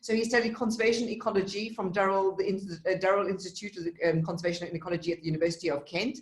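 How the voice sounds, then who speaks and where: In an echoing room, a woman from Africa hosts a panel. Her voice sits at a middle pitch, and she introduces speakers chattily, often restarting her phrases. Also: fairly even intonation, racing. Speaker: panel host. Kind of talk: semi-scripted introductions of speakers. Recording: roomy, echoing sound.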